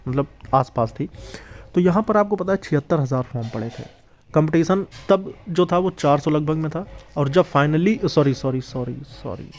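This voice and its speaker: A man's voice: a little high, darkish tone. A man hosting an exam-prep video, explaining informally and emphatically to candidates, often checking that they follow. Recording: dull, lo-fi sound.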